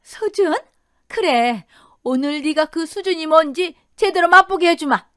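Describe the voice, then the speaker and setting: A woman's voice: high voice, animated, a little slow, fairly bright tone, dry recording, studio quality, pitched above the performer's own voice. A woman voicing a cruel older mother-in-law in an audio drama, sneering and snarling accusations in a furious temper. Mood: furious, screaming.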